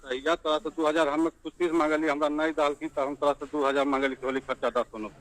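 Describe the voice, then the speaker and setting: A man's voice: fairly high pitch, little pitch movement, fast, a bit bright. A man with a Bihari accent on a recorded phone call, defending himself and pleading in dialect. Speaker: village watchman on a leaked phone call.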